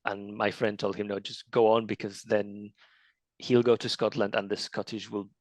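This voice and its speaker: A man's voice: lowish voice, flat delivery, normal speed, a little mellow, dry recording. A man, an author, chatting casually in an interview and telling anecdotes with frequent restarts and laughs.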